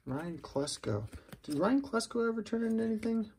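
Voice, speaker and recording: A middle-aged man with a husky, gravelly voice, chatting casually and musing aloud as he hosts a card-opening video. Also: high-pitched, big pitch swings, moderate pace, darkish tone, a little hiss or hum. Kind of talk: casual unscripted commentary while handling cards. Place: indoors at a wooden table.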